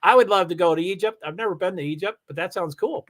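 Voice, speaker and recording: A man with a somewhat high voice chats loosely to a live-stream audience. He rambles, restarts his sentences and throws in lots of exclamations. His energy stays animated throughout. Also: fast talker. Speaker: live streamer reading chat. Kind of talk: casual live-stream chat. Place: home in Washington State.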